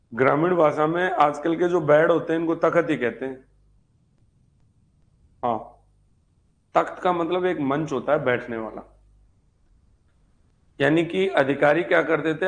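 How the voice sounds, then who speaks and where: A man giving an informal live history class as a teacher, instructive, repeating key points and checking in with students. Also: on the high side. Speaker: history teacher for exam preparation.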